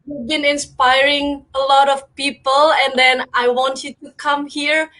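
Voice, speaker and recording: A woman's voice: high voice, level tone, measured pace, bright, forceful tone, poor audio. A woman hosting a casual online interview who speaks hesitantly with many fillers. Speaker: host.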